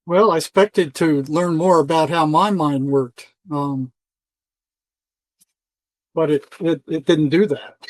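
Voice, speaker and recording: An older man answering as an interview guest in a conversational, halting way, often repeating words and restarting. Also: fairly high pitch, no room sound, poor audio. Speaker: interview guest, retired psychotherapist.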